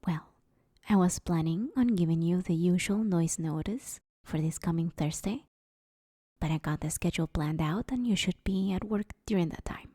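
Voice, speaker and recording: A woman's voice: on the low side, normal speed, warm tone, breathy edge, dry recording, clean studio sound. A woman playing an awkward, broke but friendly supervillain neighbor in a roleplay audio drama, rambling casually and hesitantly as she angles for favors. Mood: sheepish, casual.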